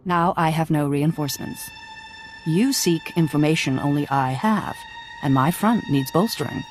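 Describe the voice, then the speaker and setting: A woman's voice: sits low, moderate pace, soft background music, close to an everyday voice. A woman playing a hard-headed, proud military general in a video game, speaking in a commanding, pragmatic way. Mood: cool defiance. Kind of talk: video game dramatic acting.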